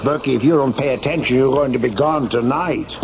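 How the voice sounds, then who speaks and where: An actor playing a theatrical, sardonic, menacing mastermind who gives grandiose commands in a science fiction radio drama. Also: male voice, medium pitch, fairly quick, gravelly, dull audio, natural voice. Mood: irritated. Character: suave, theatrical, menacing male mastermind villain. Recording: noisy old radio drama recording.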